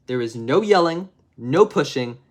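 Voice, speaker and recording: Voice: mid-range voice, lively intonation, measured pace. Stern storybook teacher, always exasperated, scolding a misbehaving pupil, read aloud by a storyteller. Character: strict scolding schoolteacher.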